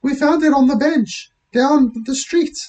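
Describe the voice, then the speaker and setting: A man's voice: very high voice, unhurried, darkish tone, muffled recording, voice pushed up. A man voicing a friendly, slightly timid young girl in a story, earnest and pleading. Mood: polite.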